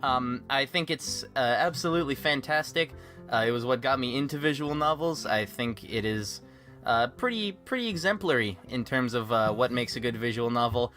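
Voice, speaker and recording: A man's voice: mid-range voice, lively intonation, moderate pace, fairly bright tone. A man hosting a casual group chat, sarcastic and swearing freely, with music playing behind him. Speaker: host of a podcast about a fan voice project. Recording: background music. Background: guitar music.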